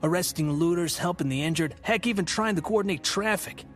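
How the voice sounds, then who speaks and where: An actor playing a world-weary, blunt police officer in an audio drama, with a folksy way of talking. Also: male voice, sits high, a little fast, faint music behind, natural voice. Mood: exasperated.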